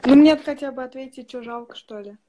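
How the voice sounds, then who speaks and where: A woman's voice: high-pitched, brisk, mellow, a little hiss or hum. Insistent young woman on a phone call. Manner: insistent, asks to be added as a friend. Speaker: video call guest on a livestream. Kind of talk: casual video call chat. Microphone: phone on a video call.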